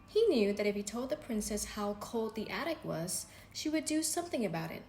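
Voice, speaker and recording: Woman narrator, lively pitch, bedtime storybook read in an echoey room with faint noise. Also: fairly quick. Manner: gentle, expressive reading with voices for characters. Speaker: storybook reader.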